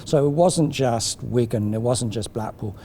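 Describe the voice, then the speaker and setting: A man's voice: medium pitch, measured pace, mellow, a little hiss or hum. A man speaking as a project facilitator in a documentary interview, earnest and explanatory.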